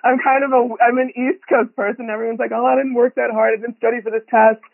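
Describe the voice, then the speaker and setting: A woman from Kenya talks as a guest over a thin, phone-like line, her voice sitting fairly high. She thinks aloud, filling pauses with ums and breaking into quick laughs. The sound is dry and close. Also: little pitch movement, vocal fry, no echo. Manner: reflective, lots of ums, laughs often. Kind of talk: conversational interview.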